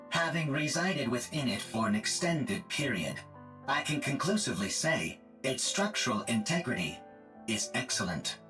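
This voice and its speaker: A slow, bright-toned robot. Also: male voice, medium pitch, exaggerated voice. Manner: flat, precise, formal phrasing. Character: calm emotionless male combat support robot.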